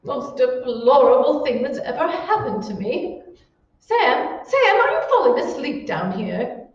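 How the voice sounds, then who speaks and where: A woman's voice: high voice, very animated, measured pace. A woman playing a haughty, bossy married hostess in an old-time radio thriller, scolding in a theatrical, indignant way. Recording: echoey hall, distant mic, muffled.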